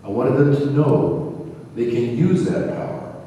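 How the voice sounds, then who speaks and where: Guy acting kindly curious old spiritual teacher in a stage radio play, soft dark tone, big echo. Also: mid-range voice, big pitch swings, measured pace. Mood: reflective, assured. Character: genial, inquisitive elderly male spiritual teacher. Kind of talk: scripted amateur radio play. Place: large reverberant hall. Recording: very echoey hall, distant mic, faint noise.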